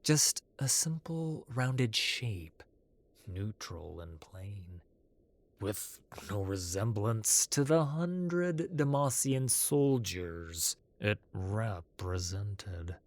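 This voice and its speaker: A man's voice: lowish voice, animated, very slow, no echo. A man reading a scripted fantasy story as narrator.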